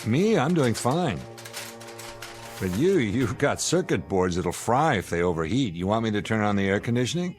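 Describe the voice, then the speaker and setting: An American actor playing an irritable, sarcastic spaceship commander in a sci-fi radio drama, wry and needling. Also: male voice, on the low side, very animated, moderate pace, soft background music. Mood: weary irritation.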